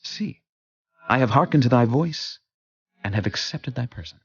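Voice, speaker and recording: Devout warrior leader, swooping declamatory delivery. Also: male voice, medium pitch, animated, normal speed, breathy edge, muffled recording. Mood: grateful, solemn. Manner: solemn, declamatory, emphatic. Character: David, devout, commanding young male warrior leader. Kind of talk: dramatized scripture acting.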